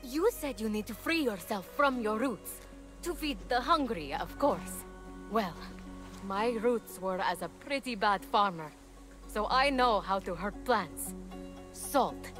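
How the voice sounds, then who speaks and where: A woman voicing an eager, slightly awkward young mortal heroine in a fantasy video game, earnest and excitable. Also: mid-range voice, slow, music in the background. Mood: helpful, earnest. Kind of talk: video game character acting.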